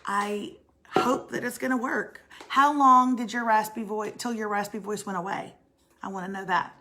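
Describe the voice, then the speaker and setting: A middle-aged woman with a raspy voice, co-hosting a casual morning chat and clearing her throat often. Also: medium pitch, steady tone, moderate pace, bright, forceful tone. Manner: chatty, self-mocking, frequent throat clearing. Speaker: co-host.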